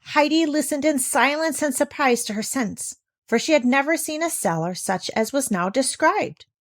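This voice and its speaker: A woman narrating a children's story as an audiobook reader, in an expressive style. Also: high-pitched, moderate pace, no echo.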